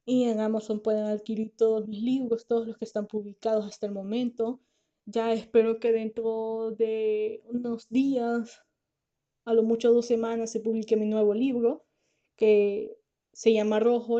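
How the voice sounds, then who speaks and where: Tired woman with a soft R, lo-fi. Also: on the high side. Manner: earnest, reassuring, speaks directly to listeners; says she can't pronounce the R well. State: tired, finding it hard to talk. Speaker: podcast host, lawyer and author. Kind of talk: casual explanatory podcast talk.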